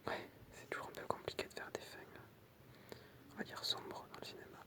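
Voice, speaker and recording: A person whispering in an ASMR style, reading out short pieces in a soft, explanatory way with casual asides. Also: a little fast. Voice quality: unvoiced, breathy whisper. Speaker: ASMR creator reading film trivia with commentary.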